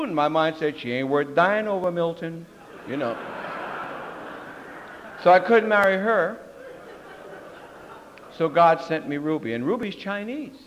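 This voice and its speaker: A man's voice: high-pitched, fairly bright tone, long reverb tail, rough recording. An older man telling his personal story at a recovery meeting, candid and joking, acting out the voices of others.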